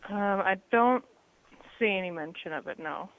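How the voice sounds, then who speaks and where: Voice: mid-range voice, moderate pace, slight background noise. Polite worker over a tinny phone line. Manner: polite, apologetic, uncertain. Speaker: call recipient, worker on shift.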